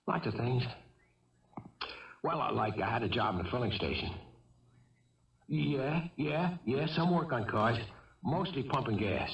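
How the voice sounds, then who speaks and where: A man's voice: mid-range voice, far from the mic, full character voice. An actor playing a troubled young fugitive killer in a 1970s radio drama, defensive and childlike, stammering and repeating himself as he pleads. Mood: defensive, agitated. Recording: roomy, muffled old radio broadcast.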